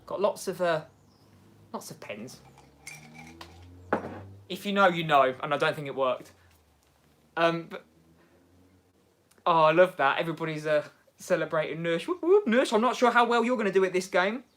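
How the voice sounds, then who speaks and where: A man with an English Midlands accent hosting a casual livestream from home, chatting excitably and laughing often as he answers viewers. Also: a little high, normal speed, pauses often, a little hiss or hum. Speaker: livestream host. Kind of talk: casual livestream chat reading viewer comments.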